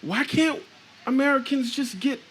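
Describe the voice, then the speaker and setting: A man's voice: very high voice, normal speed, a bit bright, slightly rough. A man on a live panel, a panelist author, telling a story in an exclamatory way and laughing through his lines.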